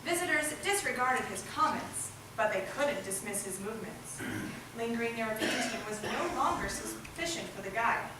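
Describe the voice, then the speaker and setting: Echoey, higher-voiced woman telling a story. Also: expressive, normal speed. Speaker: narrator in a readers' theatre group. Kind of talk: scripted narration, storytelling. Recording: echoey hall, distant mic, loud noise.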